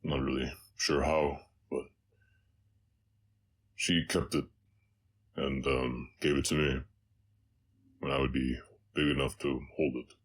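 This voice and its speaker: A man playing a gentle, haunted orc cowboy in an intimate audio roleplay, speaking hesitantly with a slight Southern American accent and a very deep voice. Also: level tone, exaggerated voice. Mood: wistful. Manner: halting, hesitant, reflective.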